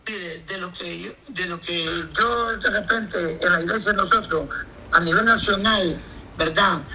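A man's voice: sits high, measured pace, sharp tone, rough recording. A man, a pastor answering interview questions emphatically and often asking if he's understood. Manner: emphatic, repeats "me entiende".

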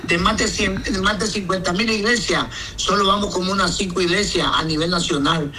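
A pastor with a high, strikingly bright voice, speaking in an interview. He talks emphatically and keeps checking that he's understood. A faint hiss of noise sits under the recording. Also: steady tone, a little fast, low-quality recording. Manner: emphatic, repeats "me entiende". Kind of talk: interview answers.

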